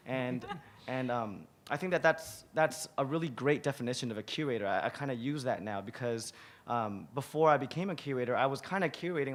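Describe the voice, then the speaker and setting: A hoarse man in his thirties with a raspy voice, a museum curator talking casually on a conference panel, stumbling and repeating words as he goes. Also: mid-range voice, a bit bright. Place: large conference hall. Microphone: table mic on a stand.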